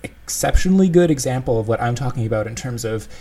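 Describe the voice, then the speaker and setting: Man talking casually, mid-pitched voice. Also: expressive, quick pace, dark tone. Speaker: podcast co-host discussing game design. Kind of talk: casual conversational discussion.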